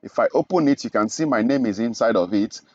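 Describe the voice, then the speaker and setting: A man's voice: medium pitch, expressive, fast talker, darkish tone, highs cut off. A young man from Nigeria teaching programming in a screen-recorded tutorial, explaining each step and checking in with "okay?". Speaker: programming instructor.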